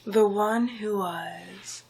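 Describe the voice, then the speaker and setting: A woman voicing a scheming, smug male sorcerer villain in an ASMR roleplay, breathy and often whispering, with a condescending, commanding manner. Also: mid-range voice, darkish tone, exaggerated voice, low-quality recording. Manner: slow, deliberate, commanding, condescending.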